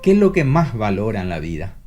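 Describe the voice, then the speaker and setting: An actor playing a brisk, inquisitive male magazine interviewer putting pointed questions in a dramatized interview. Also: fairly low pitch, big pitch swings, over loud music.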